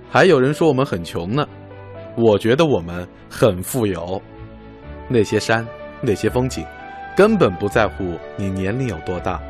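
A man's voice: on the low side, lightly acted. A man in his thirties from Guilin playing a cycling traveler talking to his dog in a radio drama. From Guilin, Guangxi, China. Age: 30s. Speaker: cycling traveler, dog's owner.

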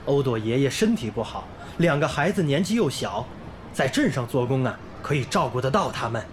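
An actor playing an earnest, idealistic young volunteer teacher in a radio drama, polite and sincere in manner. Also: male voice, medium pitch, sing-song, fairly quick, background noise, close to an everyday voice. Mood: friendly. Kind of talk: radio drama acting and narration. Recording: music bed under the voice.

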